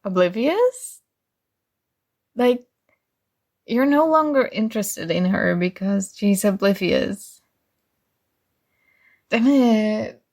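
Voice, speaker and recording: A young woman performing ASMR roleplay as a sweet, oblivious friend, earnestly giving love advice with little hesitant pauses. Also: medium pitch, a little mellow. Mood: gentle, curious. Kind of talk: soft ASMR roleplay acting.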